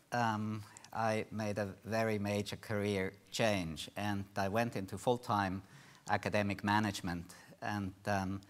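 Man, swiss accent, very low voice, measured panel talk, conference stage. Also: fairly bright tone. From Switzerland. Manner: measured, with frequent ums and uhs. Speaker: panelist, institute director. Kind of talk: panel presentation.

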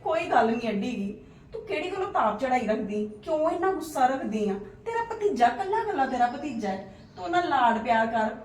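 A woman's voice: high voice, reverberant, distant mic, slight background noise. A woman playing a patient, soothing sister-in-law. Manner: gentle, placating, reasoning. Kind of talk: family drama skit.

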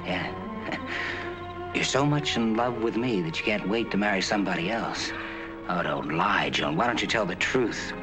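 Young doctor, bitter, lowish voice, 1940s film soundtrack with music. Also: male voice, animated, unhurried, poor audio. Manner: warm then cutting. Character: young male doctor, earnest then bitter. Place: outdoor park bench at night on a film set.

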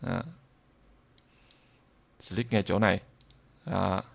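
A man with a southern Vietnamese accent giving an improvised software tutorial, halting and repeating phrases as he demonstrates. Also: deep voice, level tone, vocal fry. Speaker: tutorial instructor.

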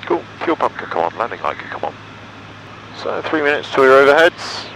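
A man's voice: medium pitch, big pitch swings, fairly quick, gravelly, fairly bright tone, low-quality recording. A man in his thirties with an English accent gives in-flight commentary in clipped procedural phrases with upbeat asides, through a headset in a small aircraft cockpit. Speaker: pilot and vlogger. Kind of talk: in-flight commentary and radio calls. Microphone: aviation headset. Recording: engine noise over aviation headset audio. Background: aircraft engine drone.

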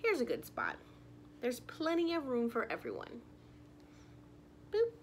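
A young woman reads a picture book aloud for small children. Her voice is fairly high and swings animatedly up and down, while she takes her time over the words. She talks straight to her young listeners in an expressive storytime manner, recorded in a living room. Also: a little slow, slight background noise. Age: young adult.

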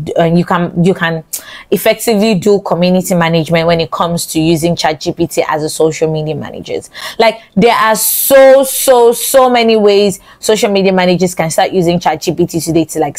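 A young woman giving a casual social media tutorial, chatty and repetitive, stuttering and restarting her sentences, speaking close into a studio mic. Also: on the low side. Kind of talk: casual talking-head tutorial. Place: small indoor studio with colored lights. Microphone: large studio mic close in front.

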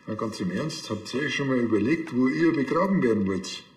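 A man's voice: medium pitch, expressive, a little fast, echoey room, lightly acted. An actor playing a self-absorbed, tactless policeman in a TV crime comedy, speaking casually with a Bavarian accent. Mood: teasing.

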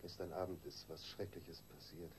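An actor playing a guilt-ridden, weak-willed husband in a radio crime drama, halting and defensive. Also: male voice, low-pitched, fairly even intonation, noisy background. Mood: despondent, muted.

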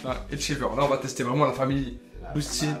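A young man with a mid-range voice chats casually as co-host of a barber product review. He is playful and quick to chip in, with music clearly heard behind his lapel-miked voice. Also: medium pitch, flat delivery. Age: young adult. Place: indoor studio. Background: background music.

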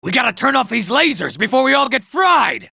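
A jittery robot grunt from a video game, high and gravelly, with a sharp, bright edge. He yelps warnings in a wide, bouncing pitch and slips into sarcastic asides between them. The booth is bone dry. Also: very animated, a little fast, no echo, exaggerated voice. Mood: panicked. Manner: panicky shouting, sarcastic asides. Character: jittery male robot soldier, nervous and boastful.

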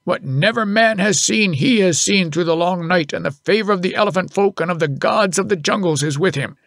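A man's voice: high voice, brisk, gravelly, fairly bright tone, no echo, studio quality, voice pushed up. A man voicing a proud veteran head elephant driver, declaiming exultantly in an audiobook story. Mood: proclaiming.

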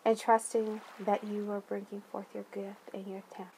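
A woman leading a guided meditation, speaking gently in deliberate phrases with long pauses and soothing affirmations. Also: medium pitch, flat delivery, a little hiss or hum, low-quality recording.

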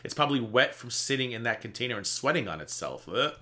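A man's voice: mid-range voice, sing-song, fast, noisy background. A man in his thirties hosting a reaction video, talking in a chatty, sarcastic way and swearing freely. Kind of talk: casual reaction commentary. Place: indoor room before a green screen.

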